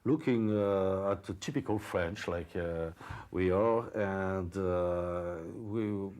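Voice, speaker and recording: A man being interviewed, speaking haltingly with many pauses and fillers. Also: sits low, darkish tone. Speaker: village resident interviewed. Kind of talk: halting interview answer in a second language.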